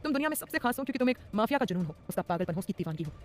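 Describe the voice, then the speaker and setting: A woman's voice: mid-range voice, lively intonation, rapid-fire, a little hiss or hum, natural voice. A woman voicing a possessive, threatening male mafia boss in an audio story, her delivery intense and menacing.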